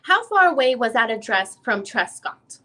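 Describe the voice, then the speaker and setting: A woman reading the part of a female lawyer who questions a witness in a deposition, in halting, rephrased questions. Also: a little high, very animated, pushed and bright. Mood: probing. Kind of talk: dramatic reading of a legal deposition transcript.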